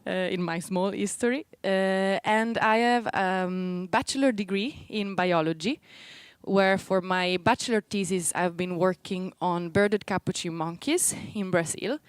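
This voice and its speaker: A woman's voice: mid-range voice, measured pace, few pauses, clean tone, no echo. A young woman from Rome with an Italian accent, giving an informal academic talk and explaining things step by step as she goes. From Rome, Italy. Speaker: postdoctoral researcher giving a seminar. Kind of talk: informal academic presentation to a live and streamed audience.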